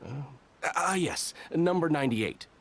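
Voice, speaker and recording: An actor playing a dutiful, bookish soldier in a video game, formal, precise and earnest. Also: male voice, fairly high pitch, lively intonation, a little slow, a bit bright, slight background noise. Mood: helpful, officious.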